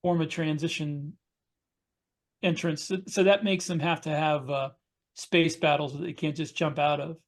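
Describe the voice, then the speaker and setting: A man co-hosting a writers' podcast over a video call, talking casually and a little haltingly. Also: a little high, steady tone. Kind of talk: casual panel discussion.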